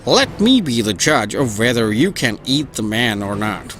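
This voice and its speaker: Woman putting on a very low storybook animal voice. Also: sing-song, unhurried, exaggerated voice. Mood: firm. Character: clever jackal or gruff crow, animal of a fable. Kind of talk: children's storybook narration.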